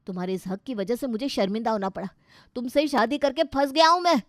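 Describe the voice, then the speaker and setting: A woman acts out an irritable young husband in a dramatised moral story. He is scornful and short-tempered, scolding in a loud, sarcastic way. The sound is dry. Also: a little high, brisk, no echo, voice pushed up, natural voice. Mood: angry.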